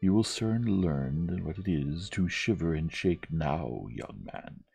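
Man playing a sly, low-voiced church sexton. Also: animated, slow pace, vocal fry, mellow, faint music behind, exaggerated voice. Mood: scheming. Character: sly, confident church sexton, scheming prankster.

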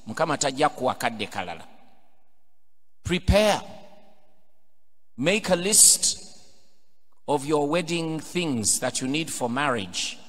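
A middle-aged Ugandan priest preaching a homily from a pulpit microphone, switching between English and Luganda, building to emphatic, loud exhortations. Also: a little high, animated, slow pace, pauses often. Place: large cathedral, indoors at a pulpit. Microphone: pulpit microphone on a stand. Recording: long cathedral reverb.

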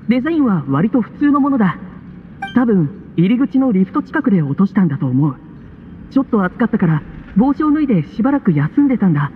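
Muffled and deep in reverb, this is a shy, embarrassed man in hiding for a video game. His very high voice moves up and down as he speaks hesitantly and politely. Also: a little hiss or hum. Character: timid, embarrassed man hiding away, pleading for help. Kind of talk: Japanese video game voice acting. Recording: muffled, long hollow reverb.

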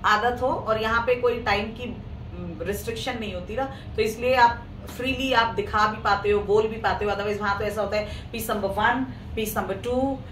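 A woman with a hoarse throat hosting a live clothing sale, chatting casually with viewers in Hindi mixed with English. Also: a little high, moderate pace, fairly bright tone. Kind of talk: casual live-stream sales talk. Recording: echoey room, mic at a distance, faint noise.

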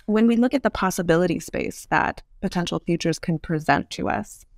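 A woman's voice: fairly low pitch, brisk, no room sound, clean studio sound. Woman explaining ideas, closely and dryly recorded. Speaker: podcast guest, author and urban design expert. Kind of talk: explanatory interview talk.

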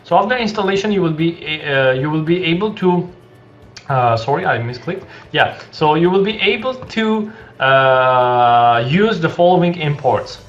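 A young man explains a coding project from a small room indoors, his voice sitting slightly high and his sentences full of restarts and fillers. The sound is dull and lo-fi from a desk mic, with faint background music underneath. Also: animated, unhurried. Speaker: coding tutorial host. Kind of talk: screen-share coding tutorial.

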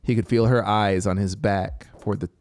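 A man in his thirties reads a scripted story in a deliberate, dramatic manner with pauses, close on a studio microphone. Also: fairly low pitch, steady tone, a little mellow, no room sound. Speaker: audiobook narrator. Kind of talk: scripted story narration over soundscape. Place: small home music studio, indoors. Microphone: close studio microphone with headphones. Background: atmospheric synth music.

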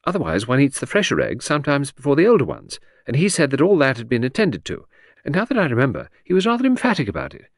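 A man's voice: on the high side, dry recording, studio quality, voice pushed up. A man voicing a vague, charming aristocratic older lady who talks in a breezy, scatterbrained gush.

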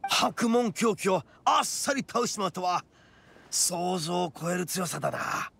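A man playing a brash, big-hearted young oni gang boss in a Japanese anime game, with rough-edged, blunt street-tough slang. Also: high voice, animated, unhurried, very noisy, exaggerated voice. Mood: cocky, hearty.

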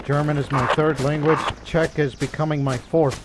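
An American man on squad voice chat in an online shooter, excitable and quick to yell warnings. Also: fairly high pitch, very noisy. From United States. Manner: excitable, yelps and shouts warnings. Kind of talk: casual game chat. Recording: loud battle sounds behind. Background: game battle sounds.

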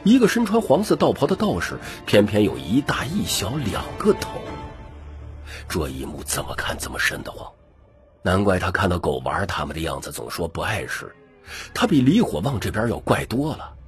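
A man's voice: low-pitched, big pitch swings, normal speed. A man narrating an audiobook in a scripted, dramatic storytelling style. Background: music.

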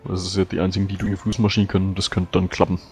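A man playing in a tabletop game, discussing battle strategy with quick restarts. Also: low voice, completely flat delivery, a little mellow, soft background music. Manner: quick, restarts often, agreeable. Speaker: player.

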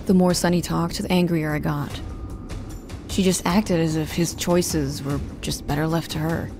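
A woman's voice: low voice, steady tone, moderate pace, dark tone, faint music behind. A woman playing a guarded, protective young woman, narrating an audio drama in the first person in a restrained, brooding, stern manner. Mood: angry, threatening.

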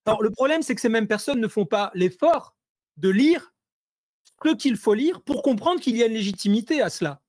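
A man speaking as an interview guest over a video call, hesitant and full of fillers and restarts, growing animated when he presses a point. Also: high-pitched, moderate pace, dry recording, studio quality. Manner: animated, rising intensity when making points. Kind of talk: conversational interview analysis.

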